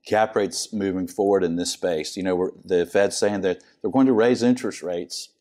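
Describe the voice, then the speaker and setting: Older host guy, really deep voice, quick questions, tv studio. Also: darkish tone. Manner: brief affirmations and quick questions. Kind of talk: studio interview. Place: TV studio set. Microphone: lapel.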